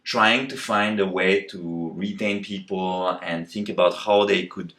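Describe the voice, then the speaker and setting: A man in his forties with a French accent, answering quick questions on a tour of his company's office, with many fillers and restarts. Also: sits low, steady tone, fairly bright tone. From France. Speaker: company co-founder. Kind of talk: rapid-fire walking interview. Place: company office in Paris, indoors and terrace.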